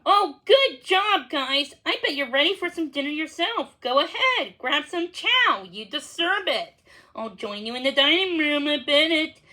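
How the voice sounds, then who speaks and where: A woman's voice: high-pitched, very animated, measured pace, sharp tone, heavily acted. A woman voicing a friendly, upbeat man who runs a kitchen, encouraging and enthusiastic, in a children's storybook. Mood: warm praise.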